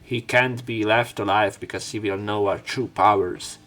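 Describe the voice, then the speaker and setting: Male let's player, lowish register, reads story text and tosses in irreverent casual remarks, game music underneath. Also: steady tone, moderate pace, fairly bright tone. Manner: irreverent, swearing offhand. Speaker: let's play commentator and narrator. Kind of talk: reading a visual novel aloud with casual commentary.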